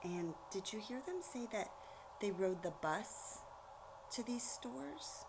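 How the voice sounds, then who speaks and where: A woman reading a storybook aloud like a teacher, pausing to explain things directly to young listeners. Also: medium pitch, expressive, gravelly, noisy background. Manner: explanatory, addresses children directly.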